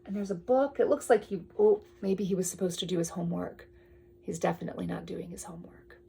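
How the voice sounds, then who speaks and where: A woman reads a picture book aloud to a young child in drawn-out phrases, often dropping to a hushed tone and adding chatty asides. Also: on the low side, steady tone, gravelly, husky. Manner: addresses the child with questions and pointers. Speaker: storyteller reading to a young child.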